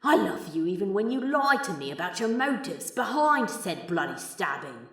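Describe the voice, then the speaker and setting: Casting: tormented male demon for audiobook fan fiction, performed by a woman, impassioned and halting, very animated, echoey recording. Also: medium pitch. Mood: anguished, exasperated. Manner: sweary, impassioned, halting confession. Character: lovesick, foul-mouthed male demon, tormented and exasperated. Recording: echoey, distant from the mic.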